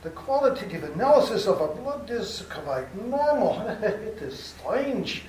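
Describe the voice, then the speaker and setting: A man playing an anxious elderly professor and doctor in a live radio play, speaking in halting, formal phrases. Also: sits high, big pitch swings, a little mellow, a little hiss or hum. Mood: grave, worried. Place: library hall with live audience. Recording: roomy hall sound from far off.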